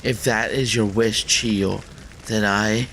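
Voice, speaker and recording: A man playing a grave, weary fugitive swordsman in a visual novel, speaking solemnly and deliberately. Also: fairly low pitch, steady tone, a bit bright, poor audio. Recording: noticeable background noise.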